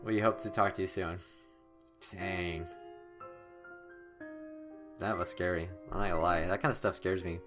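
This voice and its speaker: A young man hosting a horror game playthrough, reading the story text aloud in an excitable, dramatic way and stumbling now and then. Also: deep voice, a little slow, long pauses, music in the background. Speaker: gaming video host.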